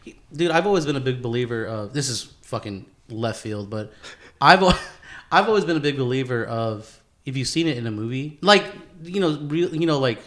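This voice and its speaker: A man's voice: medium pitch, lively intonation, brisk, a bit bright. Slangy man, casual podcast banter. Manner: slangy, profane, informal. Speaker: podcast co-host.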